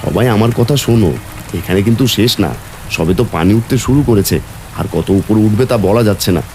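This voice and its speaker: An actor voicing a brave, steady village father who leads his family to safety and speaks with urgent command in a cartoon. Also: lowish voice, quick pace, a little hiss or hum, natural voice. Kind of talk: cartoon voice acting.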